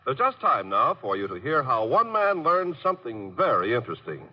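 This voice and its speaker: A man's voice: mid-range voice, very animated, brisk, sharp tone, low-quality recording. A man announcing in a scripted 1950s radio style.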